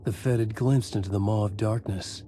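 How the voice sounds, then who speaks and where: An actor playing a brooding, desperate male crime writer, narrating his own nightmare in deliberate first-person noir style in a game. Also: fairly low pitch, steady tone, mellow, a little hiss or hum, close to an everyday voice.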